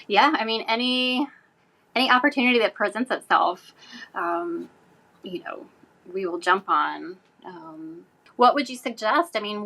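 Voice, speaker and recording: A woman's voice: medium pitch, gravelly, sharp tone, low-quality recording. A woman chatting casually as a podcast guest. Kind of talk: casual chat.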